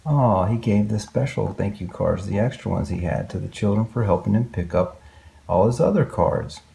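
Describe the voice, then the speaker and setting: A man's voice: fairly low pitch, animated, normal speed, vocal fry, dark tone. A man reading a children's story aloud expressively, with exclamations and wondering asides to young listeners.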